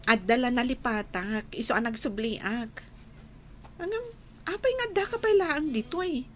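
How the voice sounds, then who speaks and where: A woman's voice: on the high side, expressive, normal speed, soft background music, natural voice. A woman playing an earnest young student in an Ilocano radio drama. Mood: earnest, defensive.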